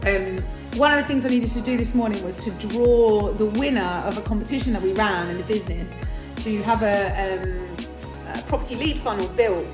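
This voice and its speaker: A woman with a British accent, chatting casually and self-mockingly to her phone camera, laughing often, her voice a little croaky and sleepy. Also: mid-range voice, normal speed, over music. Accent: British English. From England. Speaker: vlogger. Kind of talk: casual vlog chat.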